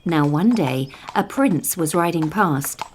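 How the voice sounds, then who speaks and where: A woman storyteller narrating a scripted fairytale for young children with deliberate, dramatic phrasing. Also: sits low, expressive, a little hiss or hum. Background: music.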